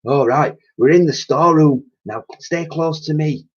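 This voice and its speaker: A man's voice: fairly high pitch, unhurried, husky, voice pushed up. A man voicing a frightened old female cleaner with a blunt, slangy way of talking. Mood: wary. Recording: dull lo-fi recording.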